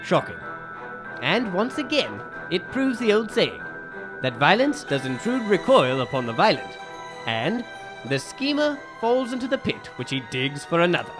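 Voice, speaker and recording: A man's voice: sits high, big pitch swings, normal speed, music in the background. A man playing a stern, shrewd detective in old-time radio drama style, grave and theatrical. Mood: grave, rueful.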